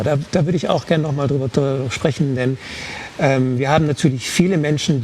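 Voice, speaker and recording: A man's voice: mid-range voice, a little mellow, a little hiss or hum. A man giving an unscripted, reflective explanation in a panel discussion, searching for words and correcting himself. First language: German. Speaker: volunteer interpreter on a discussion panel.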